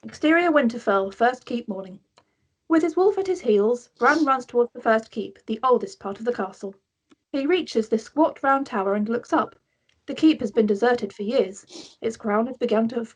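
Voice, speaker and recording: A woman narrates screenplay directions at a table read. Her voice is a little high with a breathy, husky grain, and she reads in a scripted storytelling style. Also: expressive, normal speed, rough recording.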